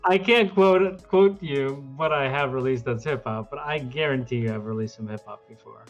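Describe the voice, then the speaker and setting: A man's voice: mid-range voice, moderate pace, soft background music. A man co-hosting a casual livestream through a webcam microphone, chatting in slangy, emphatic, sweary talk. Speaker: show co-host. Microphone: webcam built-in microphone.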